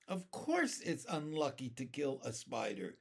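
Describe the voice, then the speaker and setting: A man's voice: mid-range voice, wildly swooping pitch, unhurried, vocal fry, husky, full character voice. A man voicing a gleeful, boastful male centipede who revels in being a pest, exuberant and theatrical, in a storybook reading. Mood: boisterous.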